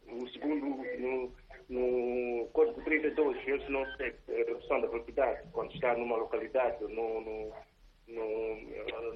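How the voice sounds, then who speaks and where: A man with a Mozambican Portuguese accent, calling a radio debate and speaking in a halting way with many fillers. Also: medium pitch. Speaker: caller. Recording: dull phone-line audio.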